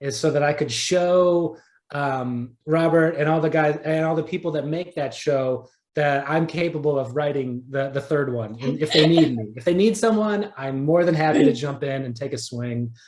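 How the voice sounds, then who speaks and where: A man's voice: on the high side, moderate pace, a little mellow. A man speaking as a podcast guest in casual interview chat, enthusiastic and rambling, often starting over and repeating words. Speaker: podcast guest, audio drama writer.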